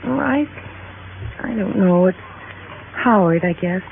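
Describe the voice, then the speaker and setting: A woman's voice: mid-range voice, lively intonation, slow, gravelly. An actress playing a proper, anxious young married woman in a 1950s radio drama, polite and earnest. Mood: uneasy. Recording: dull, noisy 1950s radio recording.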